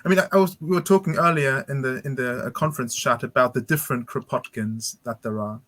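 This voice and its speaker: A man moderates an academic panel on a video call, his mid-pitched voice dry and close. He frames long, reflective questions, hedging often as he thinks. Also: fast, a bit bright, dry recording. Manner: articulate, reflective questioning with hedges like "kind of". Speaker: conference moderator.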